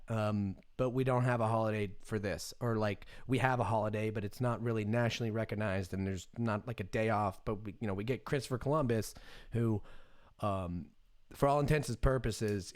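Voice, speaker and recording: Irreverent American man in his thirties, casual rant. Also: mid-range voice. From United States. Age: 30s. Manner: irreverent, sarcastic, profanity-laced. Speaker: streamer commenting on the news. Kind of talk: casual off-the-cuff commentary.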